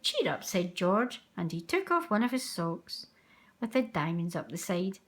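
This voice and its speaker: A woman reading a children's picture book aloud in an expressive, sing-song manner. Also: fairly low pitch, measured pace. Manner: animated, sing-song delivery.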